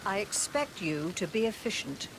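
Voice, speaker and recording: An actress playing a commanding, impatient family matriarch in a video game. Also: female voice, mid-range voice, sing-song, normal speed, background noise. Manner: curt, commanding. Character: imperious older matriarch, controlling and impatient.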